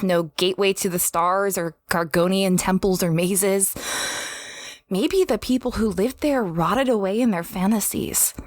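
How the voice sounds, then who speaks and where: A woman's voice: medium pitch, no echo, close to an everyday voice. A woman playing an apologetic young female space adventurer in an audio drama, hesitant and self-effacing. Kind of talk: audio drama dialogue and diary-like narration.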